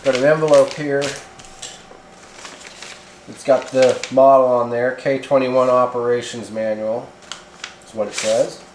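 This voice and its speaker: The voice is a man's, medium in pitch, recorded in an echoey room with faint noise behind it. He hosts a casual product demo without a script. His talk is halting and rambles along in a folksy way. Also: fairly even intonation, a little mellow. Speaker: video host reviewing radio gear. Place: indoors in a home kitchen.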